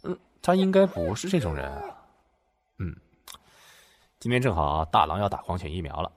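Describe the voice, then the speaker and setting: A man's voice: sits low, huge pitch swings, moderate pace. A man playing a decent, forgiving and easily flustered dog owner, speaking earnestly in a Chinese audio drama. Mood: pensive. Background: background music.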